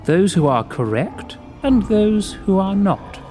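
An actor playing an unctuous, coolly zealous fiend with formal, deliberate, politely oily speech. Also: male voice, sits high, sing-song, mellow, faint music behind, heavily acted. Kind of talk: audio drama acting.

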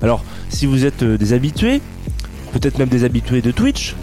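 A man's voice: mid-range voice, very animated, moderate pace, over music. A man hosting a live radio show, chatting casually with plenty of fillers, restarts and self-mocking jokes. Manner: hesitant, many fillers and restarts. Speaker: radio host.

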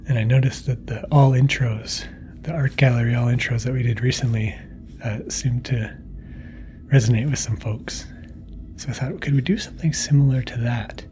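A man introducing a bedtime podcast episode in a hushed, unhurried manner. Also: medium pitch, a little hiss or hum.

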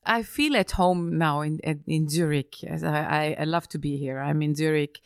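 Low husky-fry austrian woman, middle-aged, lots of uhs and restarts in an interview. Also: normal speed, no echo, studio quality. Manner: hesitant, many fillers and restarts. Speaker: interview guest, business executive. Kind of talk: casual interview conversation.

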